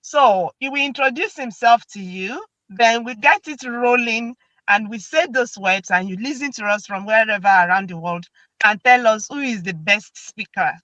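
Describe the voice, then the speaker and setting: A woman's voice: on the high side, moderate pace, dry recording, dull audio. A woman from Nigeria with a Nigerian accent, hosting a casual chat and laughing often. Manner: laughs often, animated and chatty. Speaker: host.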